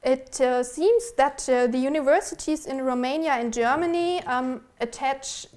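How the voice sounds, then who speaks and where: A woman presenting research in a measured, explanatory academic style, pausing now and then as she goes. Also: high-pitched, a little mellow. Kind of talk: prepared academic presentation with slides.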